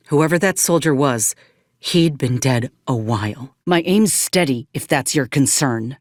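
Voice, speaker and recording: A woman's voice: extremely low pitch, dry recording, clean studio sound. An actress playing a principled, wounded woman soldier in a video game, weary yet fiercely indignant, speaking earnestly in folksy, old-fashioned frontier phrasing. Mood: stern, defiant. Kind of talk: dramatic video game acting.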